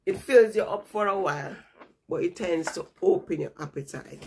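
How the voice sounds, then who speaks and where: A woman's voice: lowish voice, normal speed, a little mellow, slight background noise. A woman vlogger chatting casually and ramblingly to her viewers while she has a stuffy nose from a cold. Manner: rambling, chatty, repeats herself.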